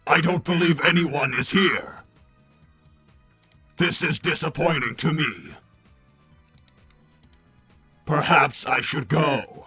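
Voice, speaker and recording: A man's voice: on the high side, takes it slowly, bright, forceful tone, slightly rough, faint music behind, heavily acted. A man voicing an earnest, forlorn robot son with stiff formal phrasing and a tendency to stammer, in a melodramatic cartoon roleplay. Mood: disappointed. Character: earnest, forlorn robot son seeking his father's respect.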